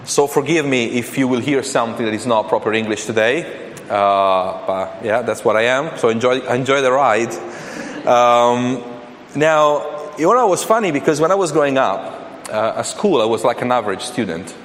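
A man's voice: mid-range voice, animated, moderate pace. Italian-accented man preaching, hesitant. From Italy. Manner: hesitant, many fillers and restarts. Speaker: guest preacher. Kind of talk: sermon. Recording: reverberant hall with faint ambient noise.

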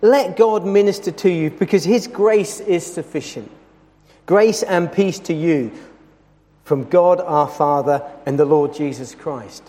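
Man, preacher, loud voice, emphatic, lingers on scripture, reverberant church hall. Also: high-pitched. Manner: earnest, emphatic, slows on scripture. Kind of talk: sermon.

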